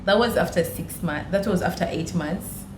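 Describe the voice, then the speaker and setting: A woman's voice: fairly low pitch, moderate pace. A woman from Uganda with a Ugandan accent, telling personal stories in conversation as a podcast guest and repeating phrases for emphasis. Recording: echoey room with clear background noise.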